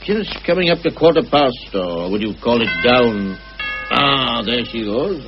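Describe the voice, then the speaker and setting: Affable village police sergeant, animated. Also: male voice, mid-range voice, unhurried, music in the background. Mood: affable, unhurried. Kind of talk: radio drama acting. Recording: dull, slightly hissy old radio recording.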